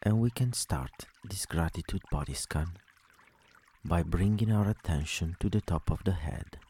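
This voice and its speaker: Very low, mellow man guiding sleep meditation. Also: breathy edge. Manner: slow, soothing delivery with long pauses between phrases. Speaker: meditation guide. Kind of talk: guided sleep meditation, body scan.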